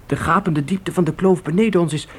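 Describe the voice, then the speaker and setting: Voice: medium pitch, a little fast, slightly rough, close to an everyday voice. An actor in a Dutch radio play voicing an eager boy on a pioneer trek, exclaiming in wonder. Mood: awed. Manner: awed, exclaiming.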